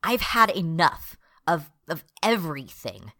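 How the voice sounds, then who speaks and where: A fed-up retail worker turned rebel leader played by a woman rants in a radio drama. The voice is a little low and very sharp and forceful, with an exasperated manner. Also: big pitch swings, unhurried, heavily acted. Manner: exasperated, ranting.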